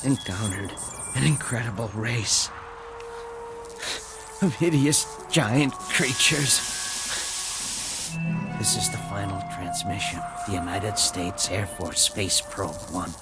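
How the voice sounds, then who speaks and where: A man's voice: fairly low pitch, slow pace, long pauses, over loud music. A man playing a doomed American astronaut sending a radio transmission in a radio drama. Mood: grave, desperate. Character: doomed US Air Force space probe astronaut.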